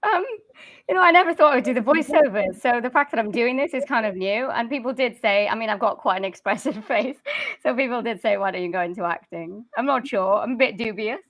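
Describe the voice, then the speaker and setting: A woman's voice: fairly high pitch, fast, no room sound. Chatty, giggly woman guest. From South Wales. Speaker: guest singer and voice-over artist. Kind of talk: casual interview.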